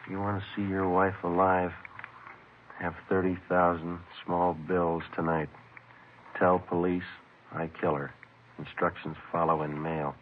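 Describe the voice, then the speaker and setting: A man's voice: deep voice, level tone, a little slow, slight background noise. An actor with an American accent playing a terse police detective, narrating his case in clipped, matter-of-fact 1950s radio style. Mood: businesslike. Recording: tinny vintage radio recording, music.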